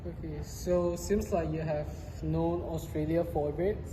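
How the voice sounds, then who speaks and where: A woman's voice: sits low, fairly even intonation, measured pace. A woman interviewer asking prepared questions in an informal interview. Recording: noisy, reverberant hall-like sound.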